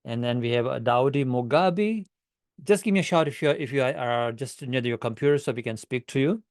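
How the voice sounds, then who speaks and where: A man's voice: medium pitch, fairly quick, darkish tone, no echo, clean studio sound. A man hosting an online club meeting, greeting guests in a friendly, upbeat way over a video call.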